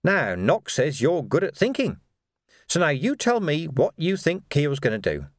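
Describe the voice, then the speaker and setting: Storybook villain played by a male reader: mocking, menacing male captain, raised pitch, animated delivery, bullying chumminess. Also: a little slow, dry recording, studio quality. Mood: falsely matey. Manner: sneering, bullying, falsely matey.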